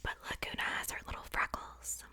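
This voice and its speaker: Young female ASMR creator, fully whispered and breathy, sharp bright sibilance, casual and unhurried.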